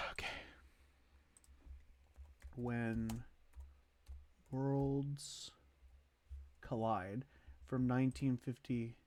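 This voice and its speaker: Slow-paced man, casual streamer commentary. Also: medium pitch, little pitch movement, darkish tone. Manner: halting, thinking aloud while ranking. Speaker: streamer hosting a movie tier list.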